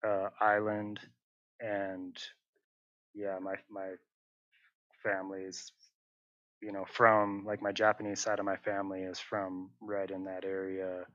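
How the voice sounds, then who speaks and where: Man, young adult, low voice, flat delivery, hesitant casual interview talk, dry dull recording. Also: a little slow, long pauses, no echo. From northern Utah, USA. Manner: hesitant, many fillers and restarts. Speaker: interview guest, muralist.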